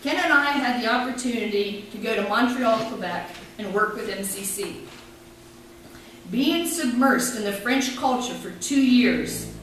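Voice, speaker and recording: A middle-aged woman pastor delivers a sermon in a measured, reflective way, weaving her own story with scripture readings. Her voice sits a bit high. It reaches the mic from a distance through a very echoey room with faint background noise. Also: a bit bright.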